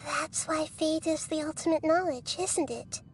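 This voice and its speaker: Young girl deity, high voice, hesitant, curious, tender, video game dialogue, faint music. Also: a little slow. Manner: hesitant, soft, questioning. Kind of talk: scripted video game voice acting. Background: background music.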